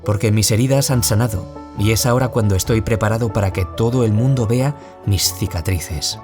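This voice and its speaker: An earnest male author played by a man speaks with a Peninsular Spanish accent in an audio drama interview. He is measured and reflective, a survivor sharing his views, with music playing underneath. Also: on the low side, close to an everyday voice. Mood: earnest, heartfelt. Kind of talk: scripted radio interview acting.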